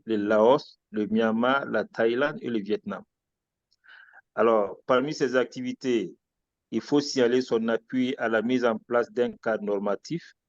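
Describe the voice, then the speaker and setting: A man, who moderates a webinar and introduces the speakers. He delivers the biographies in a formal, measured tone and slips in a few wry asides. His voice comes over a video call, in muted, dry audio that lacks brilliance. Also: on the low side, a little mellow, no echo, lo-fi sound. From Guinea.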